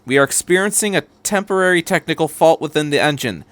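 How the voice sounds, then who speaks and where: A man's voice: fairly high pitch, lively intonation, quick pace, a bit bright, clean tone, no echo, pitched above the performer's own voice. A man voicing a male train driver making an official, formal announcement in a storybook reading.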